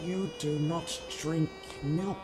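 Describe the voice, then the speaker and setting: A man's voice: fairly high pitch, steady tone, takes it slowly. A man voicing an eccentric, courtly alien desert traveler in an amateur audio play, muttering absent-mindedly and theatrically over music. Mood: courtly, puzzled. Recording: loud music bed behind voice.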